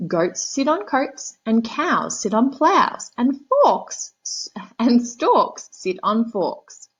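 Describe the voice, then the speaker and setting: A woman voicing a bossy, smug male cat in a children's storybook, instructing in emphatic, drawn-out rhymes. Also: on the high side, sing-song, breathy edge, pitched above the performer's own voice, exaggerated voice. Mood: bossy, impatient.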